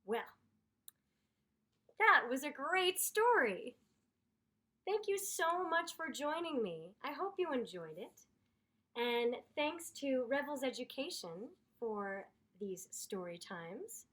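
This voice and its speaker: A woman reading a children's story aloud in an expressive, theatrical, deliberate way. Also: high-pitched. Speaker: storyteller, voice actor.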